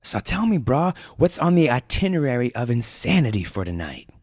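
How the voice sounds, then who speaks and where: A man voicing an excitable male office worker who talks in loud, eager surfer slang. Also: medium pitch, normal speed, slightly rough, no echo, studio quality, pitched above the performer's own voice.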